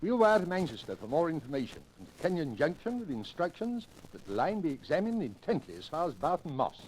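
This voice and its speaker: Baffled, high-strung railway superintendent. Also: male voice, very animated, a little fast. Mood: baffled, insistent. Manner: emphatic, formal, exasperated. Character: baffled, indignant veteran male railway superintendent. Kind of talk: 1940s radio drama acting. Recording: slightly noisy 1940s radio recording.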